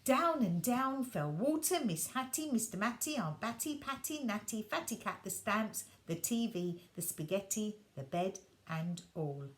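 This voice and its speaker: Slow, expressive woman reading a bedtime story. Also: medium pitch.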